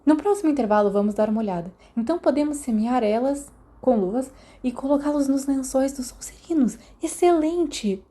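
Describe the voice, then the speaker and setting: A Brazilian woman voicing a bold, impatient schoolboy troublemaker with an excitable, demanding manner in a storybook. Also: high voice, a little fast, few pauses, voice pushed up. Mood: mischievous.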